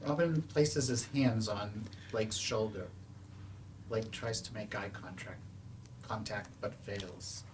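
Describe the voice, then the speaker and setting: Male stage-directions reader, lowish and unhurried, off-mic with clear background noise. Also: recorded from a distance. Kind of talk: scripted narration at a staged play reading.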